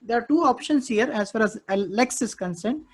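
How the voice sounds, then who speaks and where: A man's voice: very high-pitched, expressive, normal speed, slightly rough. A male instructor with an Indian English accent, walking students through a live technical class, often repeating himself and checking "okay, understood?".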